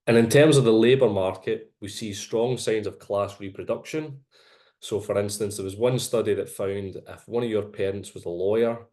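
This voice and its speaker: A man with a Glaswegian accent presenting his research in an online seminar, in a measured, explanatory way over a video call. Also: lowish voice, darkish tone. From Glasgow.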